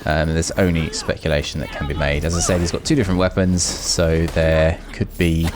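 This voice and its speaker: A man's voice: very deep voice, little pitch movement, moderate pace. A man hosting a gaming video, talking in a casual, chatty, rambling way as he gives his commentary. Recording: noisy with clear background noise.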